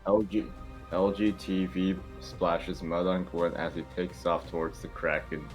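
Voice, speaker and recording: A man's voice: low voice, little pitch movement, measured pace, soft background music. A man reading stage directions as narrator in an informal amateur script reading.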